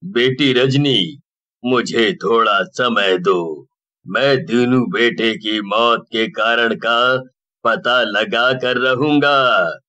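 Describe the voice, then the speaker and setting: A man acts out a stern old cartoon village headman. He is resolute and emphatic, with a bright, forceful voice and very slow delivery. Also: mid-range voice. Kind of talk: cartoon horror story dialogue.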